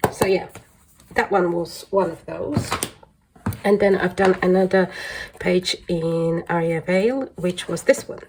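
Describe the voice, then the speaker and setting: A woman's voice: on the low side, warm tone, slight background noise, low-quality recording. A woman with a congested voice chatting casually through a show-and-tell hobby vlog, rambling and a little hesitant. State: congested from flu. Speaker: colouring hobby vlogger.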